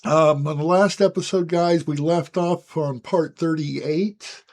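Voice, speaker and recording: A man with a hoarse, raspy voice as he gets his voice back, hosting a casual, chatty walkthrough of building a scale model. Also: on the high side, slow pace. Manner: relaxed, chatty, frequent "guys" and "um". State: hoarse, recovering from lost voice.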